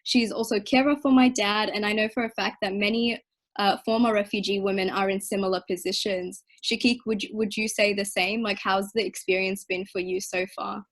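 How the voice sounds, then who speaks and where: A woman moderating a panel, speaking in a measured, articulate way. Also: mid-range voice. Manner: measured, articulate, even delivery. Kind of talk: reflective panel talk.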